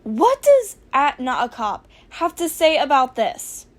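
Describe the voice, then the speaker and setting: A sarcastic teenage boy hacker played by a woman in a fan fiction audio story. The voice is high and casual, with a snarky, deadpan edge and a flair for drama. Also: measured pace. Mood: casual, reluctant.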